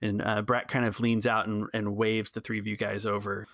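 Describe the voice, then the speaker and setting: A man improvising narration in a tabletop roleplaying game, in a halting way. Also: lowish voice, level tone, vocal fry, fairly bright tone, dry recording. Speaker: game player narrating his character.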